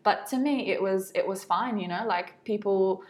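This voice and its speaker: Young syrian aussie woman, medium pitch, casual storytelling, says like and you know a lot. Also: moderate pace. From Aleppo, Syria. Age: early 20s. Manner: informal, reflective, frequent "you know" and "like". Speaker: interview guest.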